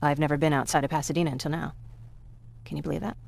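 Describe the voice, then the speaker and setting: Game role: wry, wistful young female war survivor, conversational delivery, gravelly fry. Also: low voice, little pitch movement, very fast, a little mellow. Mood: wistful, reflective. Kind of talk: naturalistic game cutscene acting.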